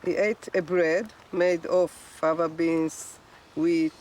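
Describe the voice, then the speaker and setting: A woman with an Israeli accent, a cooking expert, who gives soft, short and slightly hesitant answers. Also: lowish voice, fairly even intonation, slow, slight background noise. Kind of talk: casual cooking chat.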